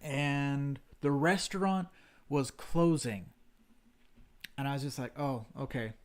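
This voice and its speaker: A man's voice: mid-range voice, slow, clean tone. A man co-hosting a casual livestream chat, telling a long story in a rambling, self-deprecating way. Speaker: stream co-host. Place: home livestream setup.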